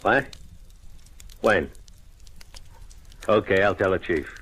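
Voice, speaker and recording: An actor playing a dutiful police sergeant and sidekick, clipped, colloquial and businesslike in 1940s radio detective style. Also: male voice, deep voice, slow, fairly bright tone, a little hiss or hum. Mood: brisk, curious. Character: dutiful, plain-spoken male police sergeant, eager sidekick.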